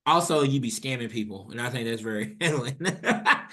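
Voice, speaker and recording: Host, man from Louisville, bright forceful tone, relaxed and teasing. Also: medium pitch, normal speed. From Louisville, Kentucky. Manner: laid-back, teasing, laughs often. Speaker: podcast host. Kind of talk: casual conversation.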